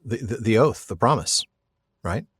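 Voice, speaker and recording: Lowish-voiced man co-hosting, very dry sound. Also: animated, normal speed, breathy edge, no room sound. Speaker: podcast co-host. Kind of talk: casual literary discussion.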